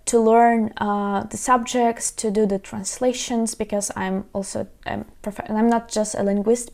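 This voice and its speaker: Ukrainian-accented woman, halting lesson talk. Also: medium pitch, gravelly, darkish tone. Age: 30s. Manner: frequent hesitations with uh and um. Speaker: language teacher.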